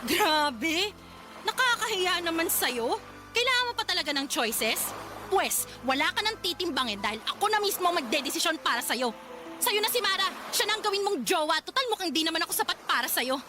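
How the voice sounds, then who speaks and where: Very high-pitched, indignant Filipina. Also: female voice, very animated, a little fast, music in the background. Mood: furious, sarcastic. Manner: confrontational, sarcastic, emphatic. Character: hurt, indignant young woman confronting her two-timing date. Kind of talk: Tagalog radio drama acting.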